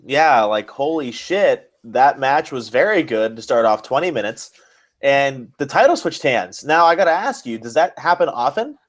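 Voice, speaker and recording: A man's voice: medium pitch, lively intonation, moderate pace. A man co-hosting a podcast, chatting casually as an enthusiastic fan and swearing freely. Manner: enthusiastic, profane. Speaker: podcast co-host. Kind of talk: casual wrestling fan chat.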